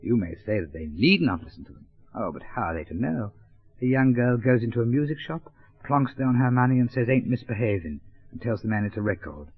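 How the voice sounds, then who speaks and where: A man's voice: medium pitch, lively intonation, fairly quick, slightly rough. A man playing a prudish, pompous moral crusader with an upper-class English accent, making a hesitant mock-earnest speech in a 1930s comic monologue. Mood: scandalised. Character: prudish, pompous male moral crusader addressing a public meeting. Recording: dull 1930s gramophone record.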